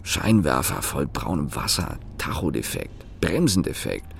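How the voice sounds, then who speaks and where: A man's voice: a bass voice, huge pitch swings, measured pace, gravelly, full character voice. An actor playing a bitter, cynical working man who mutters his thoughts in clipped, cursing fragments in a radio play. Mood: sneering. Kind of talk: radio play interior monologue.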